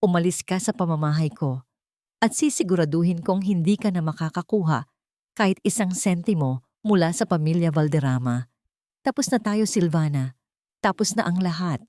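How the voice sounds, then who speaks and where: A woman's voice: lowish voice, moderate pace, mellow, no room sound, clean studio sound, lightly acted. A woman narrator as an intense, anguished billionaire man. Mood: cold, commanding. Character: anguished, angry billionaire man, commanding and remorseful.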